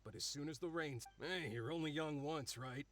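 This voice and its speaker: An actor playing a folksy, easygoing forge worker chatting in a video game. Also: male voice, a little high, moderate pace, fairly bright tone, soft background music, natural voice. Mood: amiable, candid. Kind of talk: video game character dialogue.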